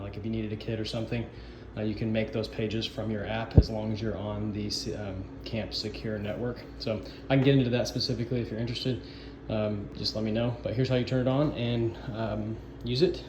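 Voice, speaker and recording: A man giving an informal instructional walkthrough of equipment, explaining in a halting, improvised way. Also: lowish voice. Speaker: sound system installer giving a walkthrough. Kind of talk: informal instructional demo narration. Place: indoor camp office. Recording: echoey room, noisy ambient background.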